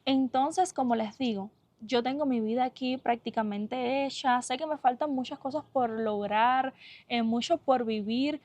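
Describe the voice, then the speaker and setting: A young woman from Cuba speaks straight to her followers in a slightly high voice, her Cuban accent softened. She talks earnestly and from the heart, pausing on fillers and restarting phrases as she thinks. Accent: Cuban Spanish, softened. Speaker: vlogger. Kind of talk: casual talk to viewers.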